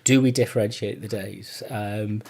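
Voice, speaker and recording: A man's voice: lowish voice, steady tone, moderate pace, gravelly, darkish tone. A British man hosting a casual interview, talking in a rambling, self-deprecating way. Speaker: podcast host.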